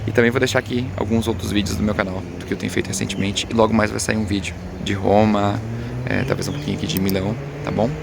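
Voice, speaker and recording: A man's voice: lowish voice, level tone, quick pace, gravelly. A man with a Brazilian accent chatting casually to viewers as a travel vlogger, in a hesitant, rambling way. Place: outdoors on a city street. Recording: loud traffic and street noise.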